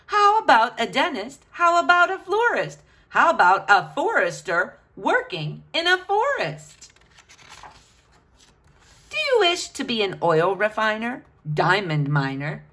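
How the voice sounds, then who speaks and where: A woman's voice: fairly high pitch, bright, forceful tone. A woman reading a picture book aloud to children, with a sing-song, questioning delivery.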